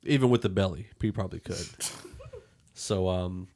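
Low-voiced man, podcast host. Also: huge pitch swings, measured pace, a little mellow. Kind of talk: casual film review discussion.

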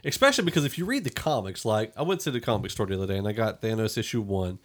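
A man's voice: lowish voice, animated, rapid-fire. A man hosting a casual movie review podcast, talking in an opinionated, conversational way. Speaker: podcast host.

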